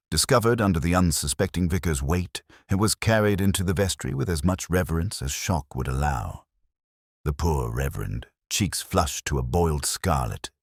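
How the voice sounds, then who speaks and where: A man's voice: a bass voice, breathy edge, no room sound, clean studio sound. A man narrating a scripted period mystery story in a literary, dramatic style.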